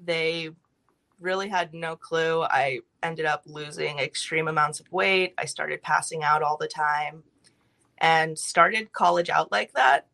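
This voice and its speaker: A young woman from California, a podcast guest telling her life story in a brisk, matter-of-fact way with light laughs. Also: low voice, vocal fry, sharp tone.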